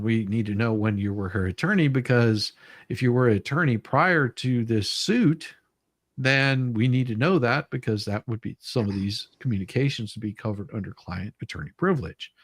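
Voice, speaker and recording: A man's voice: medium pitch, normal speed, a bit bright, dry recording. A man hosting a livestream with casual chat and commentary, repeating phrases and trailing off mid-sentence as he rambles. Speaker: livestream host.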